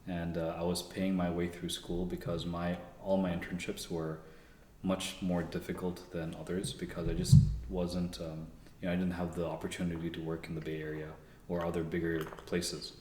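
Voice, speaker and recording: Young male guest, deep monotone, loose chatty answers full of restarts; roomy echo with faint background hum. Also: moderate pace. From Canada. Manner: relaxed, rambling with frequent fillers and restarts. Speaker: guest, engineer. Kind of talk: casual interview conversation. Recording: echoey room.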